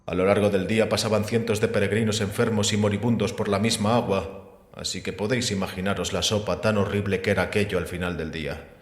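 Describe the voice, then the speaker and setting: A male actor plays a learned, unshakeable sceptic in an audio drama. His low voice lays out arguments calmly and deliberately, with gentle mockery and probing questions. It rings through an echoing hall with a long reverb tail. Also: natural voice. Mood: wry, disdainful. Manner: measured, explanatory, rhetorical questioning. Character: calm, sceptical, erudite man called Revelation, gently mocking. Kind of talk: Spanish audio drama acting.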